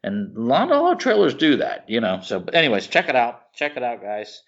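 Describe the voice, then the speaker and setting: Man, medium pitch, casual podcast chat, enthusiastic, rambling, halting, slightly muffled recording. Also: wildly swooping pitch, fairly bright tone. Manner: rambling, halting, searches for words; informal, enthusiastic. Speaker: podcast co-host. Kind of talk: casual chat about films and TV shows. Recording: slightly dull, lo-fi podcast audio.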